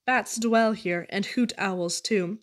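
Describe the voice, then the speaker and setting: A woman giving an expressive reading of children's poetry. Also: medium pitch, slow pace. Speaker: poetry reader.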